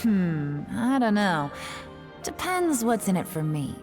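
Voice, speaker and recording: A woman playing an enigmatic female agent in an English video game dub, composed, teasing and quietly authoritative. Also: medium pitch, big pitch swings, slow, faint music behind. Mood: coy, teasing. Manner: composed, coy, controlled.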